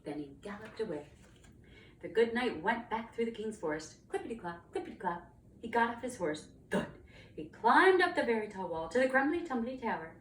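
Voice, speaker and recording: A woman's voice: fairly high pitch, sing-song, a little fast. A woman narrating a children's storybook with dramatic, emphatic storytelling. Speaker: storybook reader, cantor. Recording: roomy, distant mic, faint noise.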